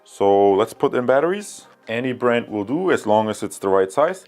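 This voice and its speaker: A man's voice: mid-range voice, very animated, normal speed, darkish tone. A man talking casually and unscripted to viewers as a product reviewer, explaining things in a rambling, conversational way. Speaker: product reviewer, channel host.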